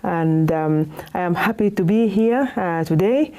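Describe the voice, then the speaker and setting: A woman's voice: fairly low pitch, lively intonation, a little slow, dark tone. A middle-aged woman with a Sudanese accent, introducing herself hesitantly as an interview guest. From Nuba Mountains, Sudan.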